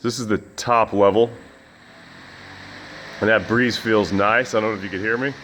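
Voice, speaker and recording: A man with a loud voice, casually reviewing a fan in his home gym, rambling with lots of fillers and restarts. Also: fairly low pitch, steady tone, normal speed, a little hiss or hum. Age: adult with children. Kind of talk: casual product review vlog.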